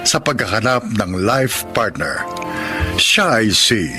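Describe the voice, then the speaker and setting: A man's voice: lowish voice, very animated, slow pace. A man delivering scripted, dramatic narration as a radio drama announcer. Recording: loud music over voice.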